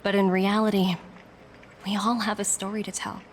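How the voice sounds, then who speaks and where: A woman voicing a composed corporate agent in a video game, reading a formal, earnest and persuasive letter in a measured way. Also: medium pitch, little pitch movement, a little hiss or hum, close to an everyday voice. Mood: measured, solemn. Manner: measured, formal, deliberate phrasing. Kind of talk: scripted game letter monologue. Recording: background music under the voice.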